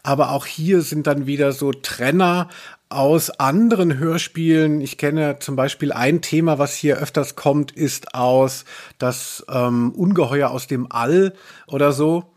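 A man hosting a podcast in casual discussion, talking in a halting way as he searches for his words. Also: on the high side, a little mellow. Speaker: podcast host, culture journalist.

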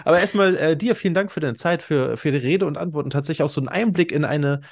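A podcast host with a medium-pitched voice chats in a loose, informal way. The talk is slangy and sprinkled with English catchphrases. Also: fast talker, vocal fry. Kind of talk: casual podcast chat.

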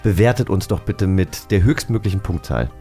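A man with a slightly low voice hosts a studio interview. He speaks in a casual, polite and appreciative conversational way. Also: animated, moderate pace, mellow, soft background music. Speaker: podcast host. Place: podcast studio.

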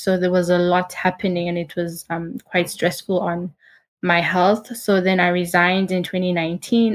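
Woman, hotel marketing manager, low flat delivery, steady with ums. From South Africa. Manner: steady, measured, with frequent ums. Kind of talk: casual interview answers.

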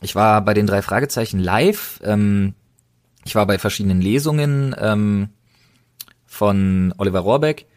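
A man's voice: fairly low pitch, measured pace. A man speaking as a podcast co-host in casual, colloquial conversation, telling things emphatically and with enthusiasm.

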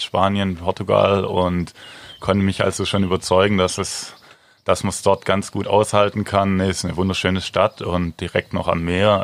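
A man in his thirties answering interview questions in a relaxed, hesitant way with many fillers. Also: deep voice, gravelly. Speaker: handball player, guest.

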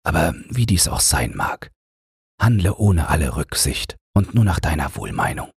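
A man with an extremely low, husky voice reads literary prose for a bedtime audiobook. His delivery is calm and measured, recorded very dry and close. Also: no room sound. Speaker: audiobook narrator.

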